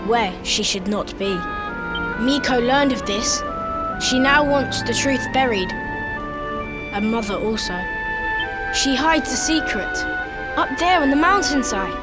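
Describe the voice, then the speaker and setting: An earnest little boy from a video game, high in pitch and very measured in pace. He speaks formally and poetically, with loud music behind him. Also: expressive. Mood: insistent. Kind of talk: video game cutscene acting.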